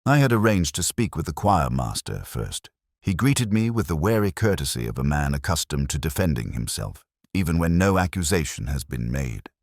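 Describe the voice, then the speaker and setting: Very deep-voiced brooding male detective, acted by a man. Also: big pitch swings, no echo, clean studio sound, full character voice. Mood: somber, observant. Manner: measured, literary, solemn. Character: brooding, observant male police detective narrating his case. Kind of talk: scripted first-person mystery narration.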